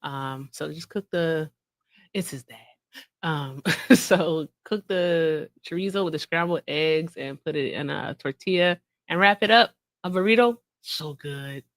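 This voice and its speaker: A woman's voice: low voice, a little slow, no room sound, studio quality. A woman in her late thirties hosting a casual livestream, chatty and laughing often, calling her viewers y'all. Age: 30s. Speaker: livestream host.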